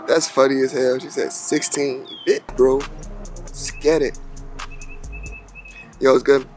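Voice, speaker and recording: A man's voice: mid-range voice, very animated, takes it slowly, long pauses, faint music behind. A young American man in his twenties, casually chatting and joking with strangers on a video call app. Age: 20s. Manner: playful, teasing, laughs often.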